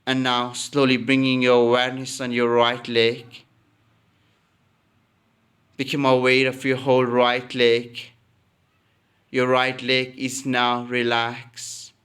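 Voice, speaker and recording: A man guides a relaxation practice in a very smooth, resonant voice. His pitch barely moves, staying steady and monotone. He delivers calm instructions at an unhurried, slow pace, often repeating a phrase. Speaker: yoga nidra teacher.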